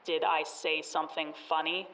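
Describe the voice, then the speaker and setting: A woman's voice: deep voice, level tone, moderate pace, bright, forceful tone. Actress as sarcastic female bandit, distant, roomy echo, dry taunts, audio drama. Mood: impatient, mocking. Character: cocky woman bandit on a ledge, sarcastic. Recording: echoey, distant from the microphone.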